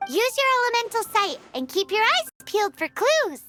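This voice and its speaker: A woman voicing a tiny floating girl companion in a video game, excitable and speaking of herself in the third person. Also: very high-pitched, normal speed, full character voice. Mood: chirpy curious. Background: game music.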